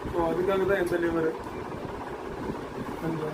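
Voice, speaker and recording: A man with a notably high voice and a flat delivery walks through a product demonstration. He, drops in English terms and often restarts phrases in a halting way. Steady, clearly audible noise sits behind him. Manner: halting, repeats words while explaining.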